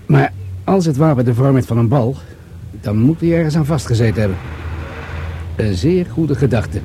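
An actor playing a wry, fatherly police commissioner in a radio drama, speaking in a dry, deliberate, pondering way. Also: medium pitch, animated, mellow, a little hiss or hum, close to an everyday voice. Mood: musing, wry. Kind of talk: Dutch radio drama dialogue.

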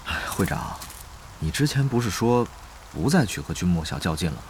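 Sighing reluctant young gamer deputy, lowish voice that swings a lot, noisy behind. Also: male voice, big pitch swings, brisk. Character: reluctant, weary, good-hearted male guild deputy. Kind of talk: Chinese audio drama dialogue.

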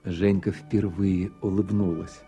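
A man's voice: sits low, level tone, slow pace, dark tone, lo-fi sound, faint music behind. A man giving scripted literary narration for a radio play.

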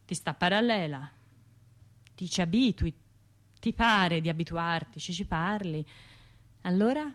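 An actress with a Tuscan accent playing a wounded, wry woman who survived a bombing, in a confiding, halting radio monologue. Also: on the low side, expressive. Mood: wry, puzzled. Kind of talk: radio theatre monologue, conversational storytelling.

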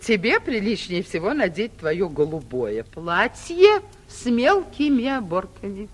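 Animated, imperious provincial lady. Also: mid-range voice, unhurried. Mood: bossy, flustered. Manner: grand, imperious, flustered. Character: vain, bossy, curious mayor's wife and mother. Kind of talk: 1940s theatrical stage comedy acting for radio.

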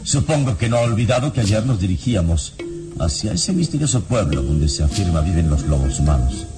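Very deep, commanding heroic investigator. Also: male voice, sing-song, brisk, loud music behind the voice. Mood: probing, stern. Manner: solemn, theatrical, accusatory, formal diction. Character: commanding heroic male investigator, calm, relentless and eloquent. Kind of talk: classic Latin American radio drama acting.

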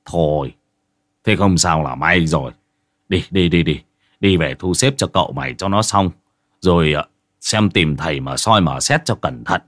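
A man voicing a gruff older boat owner who gives blunt orders in a low voice. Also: normal speed, fairly bright tone, no echo, studio quality. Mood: weary.

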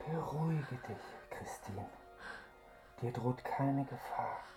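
An actor playing a desperate, lovelorn masked man in a radio drama, half-whispering in a soft, pleading, seductive way over music. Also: mid-range voice, takes it slowly. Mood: soothing, sorrowful. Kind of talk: intimate radio drama acting.

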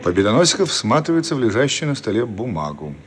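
An actor narrating stage directions for a radio play in a theatrical scripted style. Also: male voice, medium pitch, very animated, fast, a little hiss or hum, natural voice. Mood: storytelling. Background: loud music.

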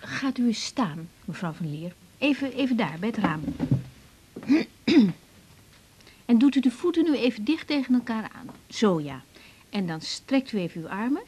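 An actress playing a caring young female doctor in a radio drama, speaking haltingly and naturally, often repeating words. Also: fairly high pitch, very animated, darkish tone, breathy edge. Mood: calm, professional.

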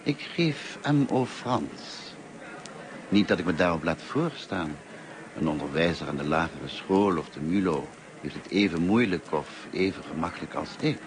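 Kindly musing teacher, old radio drama, really low voice, lots of background noise. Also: male voice, expressive, moderate pace. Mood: earnest, musing. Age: middle-aged. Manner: courteous, musing, hesitant and self-effacing. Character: kindly, talkative, lonely grey-haired male French teacher. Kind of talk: Dutch radio play acting. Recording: noisy old radio play recording.

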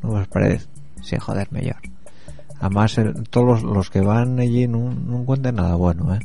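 A man's voice: on the low side, steady tone, moderate pace, gravelly, warm tone, soft background music. A man with an Asturian accent hosting a radio show, rambling in a chatty monologue and talking straight to his listeners. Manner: chatty and rambling, addresses listeners directly, many fillers. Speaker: radio host.

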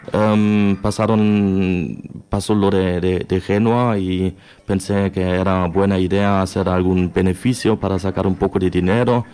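Shy halting man with a deep voice. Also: darkish tone. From Germany. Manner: hesitant, halting, many pauses and fillers. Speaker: guest, record label founder. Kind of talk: radio interview.

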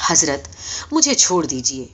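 A woman's voice: low voice, big pitch swings, fairly bright tone, husky, pitched below the performer's own voice, full character voice. A woman voicing a talking male donkey in a story, polite and wry as he pleads his case earnestly. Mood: pleading. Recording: dull, lo-fi recording.

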